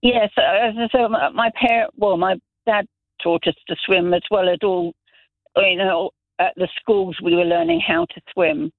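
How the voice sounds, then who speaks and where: A man's voice: sits high, lively intonation, unhurried, vocal fry, no echo. Middle-aged man on a tinny phone line. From Zambia. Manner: rambling anecdotes, many restarts. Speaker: radio caller. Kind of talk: casual phone-in chat. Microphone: telephone.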